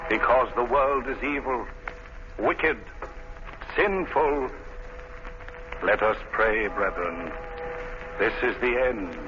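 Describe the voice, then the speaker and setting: An actor playing a fanatical preacher of doom, intoning solemnly in old radio drama style. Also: male voice, mid-range voice, close to an everyday voice. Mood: doom-laden. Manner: slow, drawn-out intoning. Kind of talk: 1940s radio acting. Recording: dull, hissy old radio recording.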